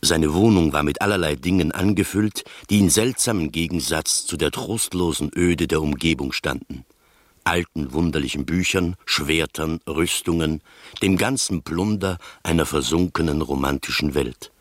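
A super deep voiced storyteller for a radio mystery, musing and deliberate, really dry close sound. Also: male voice, no echo. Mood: reflective, intrigued. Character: reflective male narrator of an eccentric London mystery tale. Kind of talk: literary radio drama narration.

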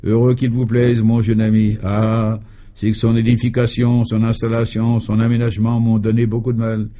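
A man's voice: lowish voice, completely flat delivery, normal speed, dark tone, natural voice. A man voicing a proud, dreamy old professor in a French comic radio serial, speaking with ceremonious, solemnly absurd courtesy. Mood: proud, pleased.

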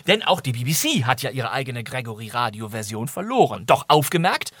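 A man's voice: medium pitch, sing-song, a little fast, fairly bright tone, dry recording, studio quality. A man presenting and narrating in a chatty, comic, mock-dramatic way, as if retelling a story to listeners.

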